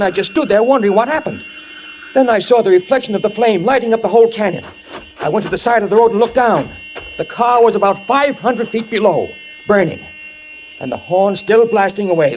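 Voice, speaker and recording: An actor playing a guilt-ridden man who fled a fatal crash, narrating in the first person in a 1940s radio drama with tense, halting speech that stammers and repeats. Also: sits high, a little hiss or hum, low-quality recording. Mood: panicked. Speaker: narrator and lead character. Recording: old radio recording with music behind.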